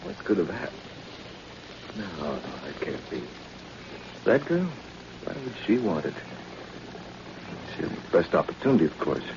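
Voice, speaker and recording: A courteous male reporter hero in a 1940s radio adventure, gallant and a little flustered. His low voice moves expressively through a dull, noisy old recording. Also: very animated, normal speed, pauses often, heavily acted. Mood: puzzled, worried.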